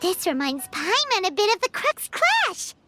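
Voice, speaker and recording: Tiny cheeky fairy sidekick, extremely high squeaky voice, very lively swoops, cutesy, game cartoon style, music behind. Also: female voice, a little slow, exaggerated voice. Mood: wary. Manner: squeaky, cutesy, refers to self in third person. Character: tiny bubbly childlike fairy companion, cheeky, female. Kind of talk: cartoonish video game voice acting.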